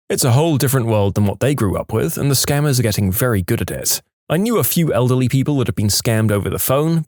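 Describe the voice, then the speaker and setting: A man's voice: fairly low pitch, darkish tone, no echo, clean studio sound. A man narrating read-aloud online stories in a scripted storytime style, as the host of a channel.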